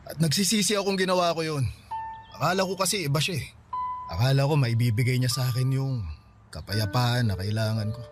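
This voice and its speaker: A man's voice: medium pitch, fairly quick, lightly acted. Radio drama: actor as curt, regretful young guy, middle register, music bed. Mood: sheepish. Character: regretful young man seeking an old flame.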